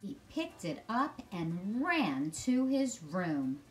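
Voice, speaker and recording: A middle-aged woman gives an expressive storybook read-aloud for children. She takes her time, with lively, varied pitch, and soft music plays faintly behind her. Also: slow. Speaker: teacher reading aloud to children.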